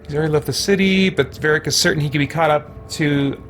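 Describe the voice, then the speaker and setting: A man's voice: fairly high pitch, fast, a bit bright, a little hiss or hum. Casual male game streamer thinking aloud. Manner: thinking aloud, musing to himself. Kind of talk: casual gameplay commentary.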